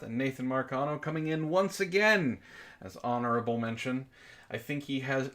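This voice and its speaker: A man's voice: fairly high pitch, a bit bright. A man hosting a book club livestream in casual chat, jokey and playfully theatrical when he announces things.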